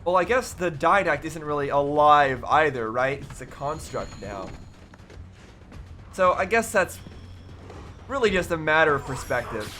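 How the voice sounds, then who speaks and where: A man hosting a reaction video, commenting casually and haltingly, often wondering aloud in questions. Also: fairly high pitch, animated, sharp tone, faint music behind. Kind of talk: casual, off-the-cuff commentary on game cutscenes.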